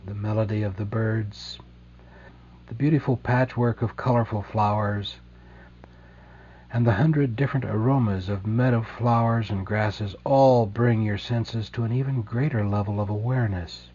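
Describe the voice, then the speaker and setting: A man leading a guided meditation in a slow, soothing, gentle way, leaving deliberate pauses between phrases. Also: fairly low pitch, steady tone, husky, highs cut off.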